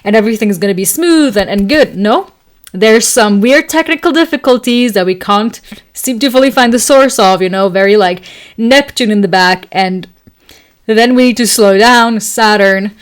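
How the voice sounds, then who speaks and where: A woman's voice: mid-range voice, moderate pace. Chatty woman, Serbo-Croatian native speaker. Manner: chatty, many fillers and restarts, laughs often. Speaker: astrology podcast host. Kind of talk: casual storytelling monologue.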